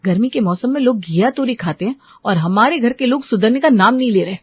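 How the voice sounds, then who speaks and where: A woman's voice: on the high side, animated, fairly quick, breathy edge, no echo, lo-fi sound. A woman voicing a strict, fed-up young daughter-in-law, firm and lecturing, in a family story. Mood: exasperated.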